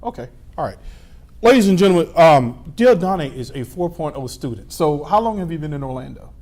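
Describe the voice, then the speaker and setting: A middle-aged man hosting a local TV interview, his voice pitched a little above the usual male range. He asks friendly, easygoing questions and leans on plenty of "uh" and "um" fillers. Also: animated, fairly quick, darkish tone. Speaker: TV show host.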